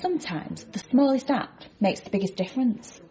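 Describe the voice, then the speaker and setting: An actress playing a blunt but kindly cafe waitress in a radio comedy drama, deadpan and matter-of-fact. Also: female voice, a little high, moderate pace, dull audio, close to an everyday voice.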